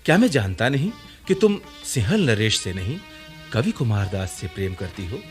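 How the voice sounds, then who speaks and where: A man's voice: fairly low pitch, very animated, normal speed, soft background music. An actor playing a playful, amorous ancient poet-king in a Hindi radio drama, with a declamatory, romantic delivery. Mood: ardent, solemn. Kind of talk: theatrical radio drama in formal Sanskritized Hindi.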